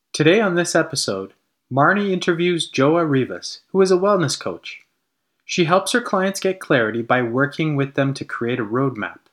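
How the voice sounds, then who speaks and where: A man's voice: on the high side, fairly bright tone. Man reading a podcast intro. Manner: announcer-like, read intro. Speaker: podcast co-host, COO. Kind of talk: scripted show intro.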